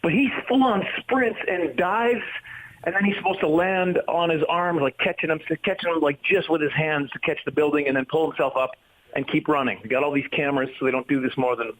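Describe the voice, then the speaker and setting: Guy guest on a radio chat, kinda high voice, jokey self-deprecating storyteller, acts stuff out. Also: brisk, fairly bright tone, low-quality recording. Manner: self-deprecating, joking, acts out his stories. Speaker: comedian and actor, interview guest. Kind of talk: animated anecdote-telling in casual radio interview.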